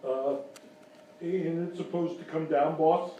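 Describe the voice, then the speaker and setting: A man playing a slow-witted, obedient assistant in a radio comedy sketch, halting and muddled in a dopey voice. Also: a little high, steady tone, warm tone, far from the mic, lo-fi sound, a little hiss or hum. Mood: dim, eager. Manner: halting, muddled simpleton talk. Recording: echoey live stage, off mic.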